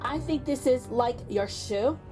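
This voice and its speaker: Hesitant, polite young girl for a picture book, high-pitched and quirky, acted by a woman. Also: over music, close to an everyday voice. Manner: hesitant, halting, casual filler words.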